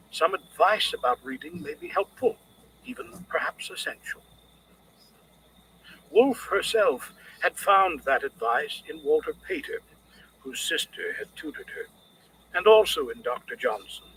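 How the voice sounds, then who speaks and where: Bright-voiced man narrating, lively pitch. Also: a little hiss or hum, poor audio. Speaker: audiobook narrator. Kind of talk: scripted audiobook narration.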